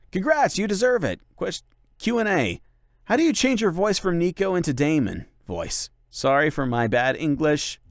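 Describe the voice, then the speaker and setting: A young man answers viewer questions in loose, rambling chat, his pitch bouncing around in a lively way. His speech is full of fillers and restarts. The recording is close and dry. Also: no room sound. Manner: rambling, filler-heavy, many likes and ums. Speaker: channel host and voice actor. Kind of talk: casual Q&A chat.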